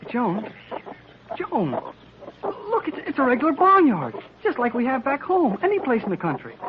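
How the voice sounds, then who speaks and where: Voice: high-pitched, huge pitch swings, moderate pace. A performer playing an impatient, slangy country boy with an American accent in an old-time radio drama. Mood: excited. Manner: folksy, slangy, exclamatory. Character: brash, impatient, excitable farm-country boy. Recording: dull, noisy old radio recording.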